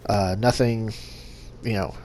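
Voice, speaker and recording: A young man hosting a gaming channel, talking casually to his subscribers in a monotone with lots of fillers and restarts. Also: lowish voice, slow, slight background noise. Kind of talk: casual vlog update to subscribers.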